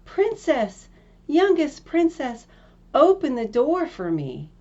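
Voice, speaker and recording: A woman's voice: high-pitched, huge pitch swings, takes it slowly, mellow, lo-fi sound, pitched above the performer's own voice, heavily acted. A woman reading a storybook, voicing a small talking frog who pleads and makes insistent demands. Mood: insistent calling.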